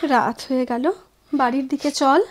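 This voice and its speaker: An actress playing a practical, concerned woman friend in an audio drama, with an earnest, measured, gently questioning delivery. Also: sits high, steady tone. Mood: gentle. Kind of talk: Bengali audio drama acting. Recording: prominent music over the voice. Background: loud music with woodwind.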